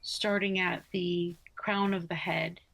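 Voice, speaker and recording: A woman leads a guided relaxation in a slightly low voice with very little rise and fall. She is soothing and unhurried, leaving long pauses between short, gentle instructions. Her delivery is very slow throughout. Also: little pitch movement, low-quality recording. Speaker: yoga nidra guide.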